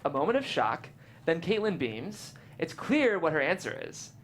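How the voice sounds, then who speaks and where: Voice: medium pitch, sing-song, normal speed, a little hiss or hum. A reader narrating the stage directions in a script table read.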